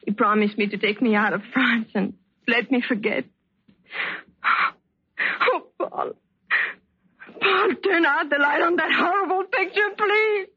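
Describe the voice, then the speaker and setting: Desperate, guilt-laden suspense heroine, breathy high voice, imploring delivery, dulled vintage radio sound. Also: female voice, expressive, takes it slowly, pauses often, bright, forceful tone, dry recording, full character voice. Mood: anguished confession. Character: desperate, guilt-ridden woman pleading for her life. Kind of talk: old-time radio suspense acting. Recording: muffled old radio drama recording.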